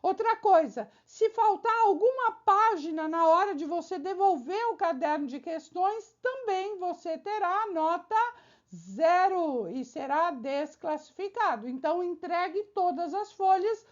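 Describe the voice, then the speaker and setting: A woman teacher speaks in a very high voice and takes her time, laying out exam advice step by step. She checks in with the viewer often and works through examples. The recording sounds slightly dull and lo-fi. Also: expressive, measured pace. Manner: explains with frequent checking tags and examples. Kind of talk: exam-prep video lesson.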